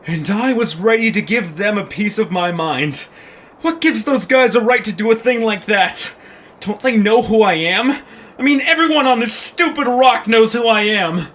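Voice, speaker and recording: A man's voice: high voice, normal speed, fairly bright tone. A man voicing a bossy, hungry, self-important troll in an audio drama. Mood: indignant, demanding. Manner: pompous, demanding. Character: bossy, hungry pizza troll leader, arrogant and picky. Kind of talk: cartoonish audio drama acting. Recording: faint background noise.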